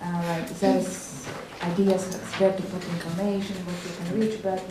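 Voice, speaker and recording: A woman's voice: fairly low pitch, flat delivery, measured pace. A woman, moderating a panel and asking direct, probing questions. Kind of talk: panel discussion. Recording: echoey hall, mic far off, faint noise.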